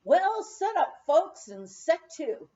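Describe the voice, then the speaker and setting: A woman's voice: very high voice, big pitch swings, slow pace, dark tone, lo-fi sound, pitched above the performer's own voice, exaggerated voice. A woman voicing a cheerful, folksy woman cook who bosses people to the table in a memoir reading. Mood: cheerful, bossy.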